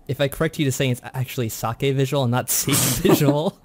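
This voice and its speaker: A man's voice: mid-range voice, brisk. A man chatting casually as a quiz contestant, wry and self-deprecating. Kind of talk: casual chat.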